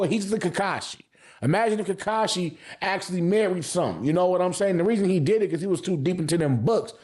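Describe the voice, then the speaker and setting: A man's voice: high-pitched, a little mellow. A man hosting a casual anime review, talking in heavy slang and profanity, repeating phrases for emphasis. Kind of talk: casual hype review commentary.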